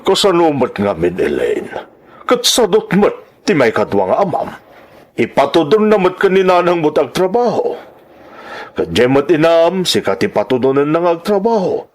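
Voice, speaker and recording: A man playing a sympathetic but frank middle-aged uncle in a dramatic audio serial, talking in an animated, advising way. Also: fairly high pitch, normal speed. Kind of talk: dramatic radio-style serial acting.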